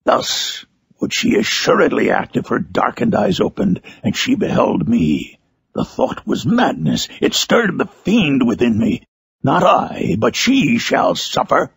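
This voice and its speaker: A menacing outcast creature telling his dark tale like a gothic storybook. The voice is deeply gravelly and husky, with huge swings in pitch. He speaks slowly and theatrically, brooding and bitter. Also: no echo, exaggerated voice. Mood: tormented, bitter. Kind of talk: dramatic first-person literary monologue.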